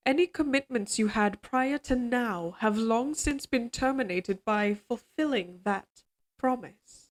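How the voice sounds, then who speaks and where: Woman acting a slow-speaking, regal evil queen. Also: fairly high pitch. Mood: coolly firm. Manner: formal, measured, courtly diction. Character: regal possessive evil queen, tender yet coldly controlling. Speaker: voice actor in audio roleplay. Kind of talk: slow dramatic audio roleplay monologue.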